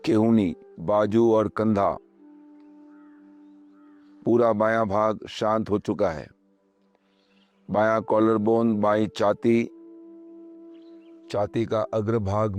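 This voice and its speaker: A man leading a guided meditation, speaking calmly and measuredly in short phrases with pauses, as slow instructional narration. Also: fairly low pitch. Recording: voice over background music. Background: meditation music.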